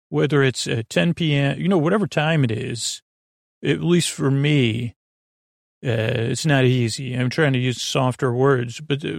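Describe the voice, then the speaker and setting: A man hosting a sleep podcast, talking in a meandering, gentle way with many restarts and fillers. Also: mid-range voice, gravelly, no echo, studio quality. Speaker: podcast host. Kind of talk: rambling bedtime podcast intro.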